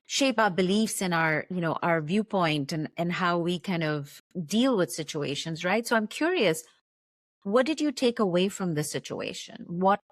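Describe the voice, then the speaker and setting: A woman hosting an interview, asking calm, curious questions and affirming her guest. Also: fairly low pitch, no room sound, studio quality. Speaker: podcast host.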